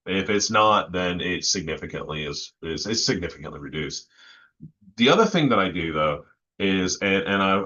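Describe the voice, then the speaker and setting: A man talking casually and technically as a panelist, halting as he thinks aloud. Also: lowish voice, fairly bright tone, dull audio. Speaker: panelist in music technology discussion.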